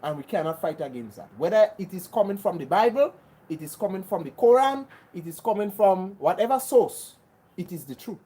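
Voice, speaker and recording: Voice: mid-range voice, lively intonation, a little fast, warm tone, husky. A livestream host from Grenada, talking earnestly to viewers. Often repeats and restarts phrases and speaks in an insistent, preachy way. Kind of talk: casual livestream chat answering viewers.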